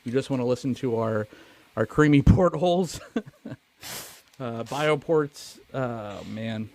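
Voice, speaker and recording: A man's voice: mid-range voice, slow, a little mellow. A man hosting a movie podcast in a casual chat, joking, swearing and putting himself down. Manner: joking, profane, self-deprecating. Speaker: podcast host.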